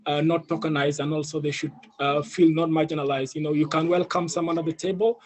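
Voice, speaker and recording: A man's voice: fairly high pitch, level tone, fast talker, darkish tone. A young man with an East African accent giving an earnest personal presentation on an online panel, often adding "you know". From Ethiopia, of South Sudanese family. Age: young adult. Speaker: youth leader, panel speaker. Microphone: video call.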